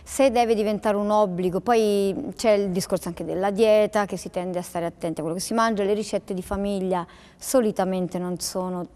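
A woman in her forties, a guest on a studio talk show, explaining her views thoughtfully with pauses. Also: mid-range voice, fairly even intonation, dark tone. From Italy.

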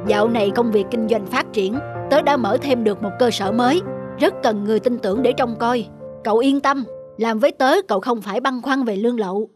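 A man voicing a pushy, money-minded businessman in a cartoon, insistent and exasperated. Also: extremely high pitch. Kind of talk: cartoon dub.

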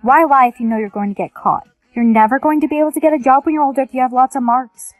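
A stern, accusing female character, acted by a woman in a scripted skit. The voice is high and dryly recorded, with a dramatic, declarative delivery. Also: quick pace, dry recording, natural voice. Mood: scolding.

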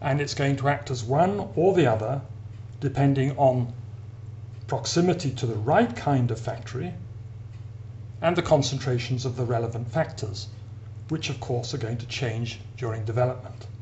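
Guy lecturer explaining science, mid pitch, measured n deliberate, bit of hum and noise on the recording. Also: a little mellow, muffled recording. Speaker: academic lecturer. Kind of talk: explanatory science narration over an animation. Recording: clear background hiss and hum.

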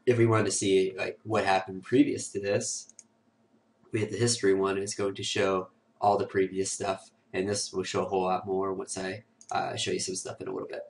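A man with a raspy, cold-roughened voice, sniffling now and then, hosting a casual screen-recorded software tutorial full of "uh" and "um". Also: low-pitched, level tone, a little fast, far from the mic, slight background noise. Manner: casual, filler-heavy walkthrough with many "uh" and "um". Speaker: host of a security tutorial show.

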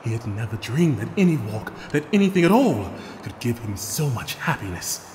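Animated male storyteller in long reverb. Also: medium pitch, normal speed, slight background noise. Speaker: narrator. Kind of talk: dramatic scripted storytelling narration. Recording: long hall reverb, music behind. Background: music.

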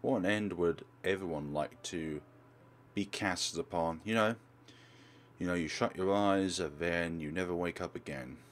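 A man's voice: sits low, unhurried. A man hosting a let's play, reading game text aloud and commentating with wry, theatrical asides. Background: game music.

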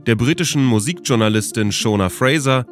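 A man's voice: mid-range voice, expressive, fairly quick, faint music behind. A man hosting and narrating a documentary podcast from a script.

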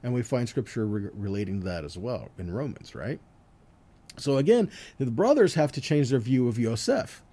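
Expressive man teaching scripture, mellow voice. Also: mid-range voice, moderate pace. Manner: conversational, checks in with rhetorical questions and "right?". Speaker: Bible teacher giving a weekly scripture teaching. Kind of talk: informal teaching sermon with scripture readings.

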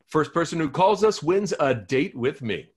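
An eager, dorky middle-aged radio host played by a man, with a slightly high voice that moves around a lot. He pleads and enthuses like a hopeless romantic in a comedy. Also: animated, moderate pace, darkish tone, breathy edge. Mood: eager, hopeful. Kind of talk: comedy script table read, playing a radio host.

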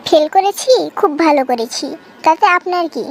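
A woman's voice: very high-pitched, lively intonation, normal speed, background noise. A woman voicing a cheeky, defiant young village girl in a cartoon, talking back with a Bangladeshi accent. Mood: defiant, sassy. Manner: sassy, argumentative back-talk. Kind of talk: amateur cartoon dialogue.

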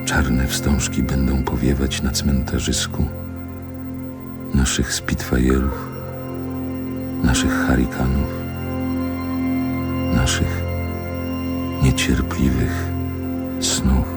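An actor playing a wistful older man who narrates his childhood in a solemn, poetic radio drama monologue, with a gravelly, husky voice. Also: a bass voice, flat delivery, over loud music, full character voice. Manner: deliberate, solemn, poetic delivery.

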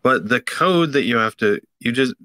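A middle-aged man co-hosting a podcast, explaining a tech item in a halting way with many fillers, from a home office on a video call. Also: medium pitch, animated, sharp tone, no echo. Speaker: podcast co-host. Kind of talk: casual tech chat.

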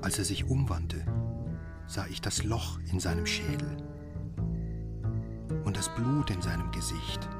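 An actor playing a haunted doctor, a husband living through a nightmare in a radio drama. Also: male voice, deep voice, a little slow, pauses often. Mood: grave narrating. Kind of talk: radio drama acting and first-person narration. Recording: loud music under the voice.

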